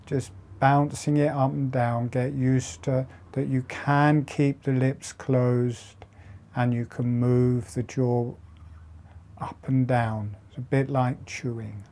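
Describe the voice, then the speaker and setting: Slow, soft-spoken man guiding meditation. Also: mid-range voice, flat delivery. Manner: slow, soft, halting phrases with long pauses. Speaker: meditation teacher. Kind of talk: guided breathing meditation.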